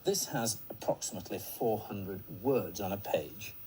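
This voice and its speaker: A man explaining and demonstrating computer equipment as an expert, measured and matter-of-fact, in an old 1980s television segment. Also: on the low side, dark tone. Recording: noisy 1980s archive television clip.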